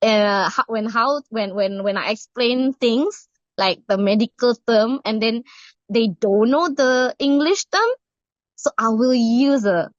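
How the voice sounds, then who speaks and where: A woman's voice: on the high side, takes it slowly, no echo. A middle-aged Indonesian woman with an Indonesian accent, answering interview questions hesitantly with many fillers and restarts. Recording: muffled dry recording.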